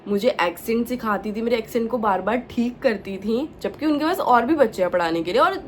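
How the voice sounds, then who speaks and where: A woman's voice: a little high, lively intonation, fairly quick, a little hiss or hum. A young woman from India, a native Punjabi speaker, chatting casually in a vlog and sprinkling English words and fillers into her talk. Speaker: vlogger. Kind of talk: casual chat.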